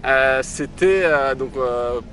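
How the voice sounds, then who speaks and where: A man's voice: medium pitch, takes it slowly, fairly bright tone, slight background noise. Hesitant young man, lots of "euh". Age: 20s. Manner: hesitant, many fillers and restarts. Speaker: interview guest, entrepreneur. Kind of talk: casual interview answers. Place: outdoors by the sea in a city.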